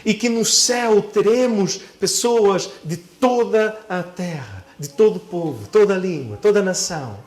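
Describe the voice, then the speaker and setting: A male pastor delivers a sermon in a notably high voice. He builds his points in measured, deliberate phrases. Key words come back in emphatic repetition as he addresses his congregation. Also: animated, darkish tone. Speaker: pastor preaching.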